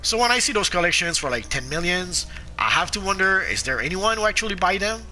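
Casting: man, sharp bright tone, sniffly sore throat, halting game-guide chatter with lots of "uh". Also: sits high, very animated, a little hiss or hum, poor audio. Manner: halting, frequent "uh" fillers and restarts. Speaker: gameplay guide narrator. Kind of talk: casual game commentary.

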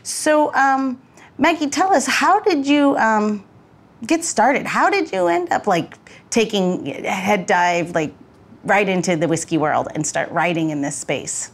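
A woman's voice: medium pitch, expressive, unhurried. A woman hosting a podcast in a casual, chatty style. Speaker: podcast host.

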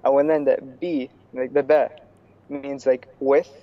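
A young man from New York, a student guest in a casual video-call interview, talking in a relaxed way with many fillers and restarts. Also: mid-range voice, a little slow. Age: young adult. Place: small room indoors. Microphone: earphone headset on video call. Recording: slightly dull video call audio.

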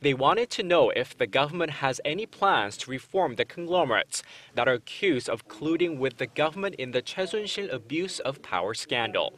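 A man's voice: mid-range voice, sing-song, moderate pace, fairly bright tone. A young man narrating a scripted television news report as a reporter. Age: young adult.

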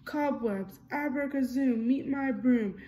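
A woman's voice: high-pitched, takes it slowly, mellow, slight background noise. A woman voicing a brave, cheerful girl with a magic broom, in a confident, reassuring way.

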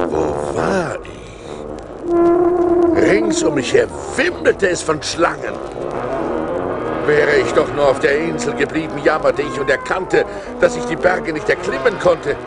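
An animated, suspenseful seafaring adventurer. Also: male voice, fairly high pitch. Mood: awed, alarmed. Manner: theatrical, suspenseful, expressive delivery. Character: Sindbad, male seafaring adventurer recounting perils, fearful yet resourceful. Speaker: narrator and lead actor. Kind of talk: dramatic storytelling in a German audio play. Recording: vinyl record transfer.

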